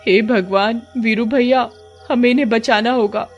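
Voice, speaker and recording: A woman's voice: fairly high pitch, steady tone, measured pace, dull audio, soft background music. A woman voicing an anxious, superstitious village wife, questioning and exclaiming in a horror story. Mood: distressed. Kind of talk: melodramatic Hindi horror story acting.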